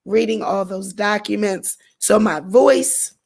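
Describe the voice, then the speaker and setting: A woman hosting her own commentary channel, speaking with a hoarse voice and a chatty manner full of "you know" and "um" fillers. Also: mid-range voice, slow pace, a little mellow. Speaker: channel host. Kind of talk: casual commentary vlog.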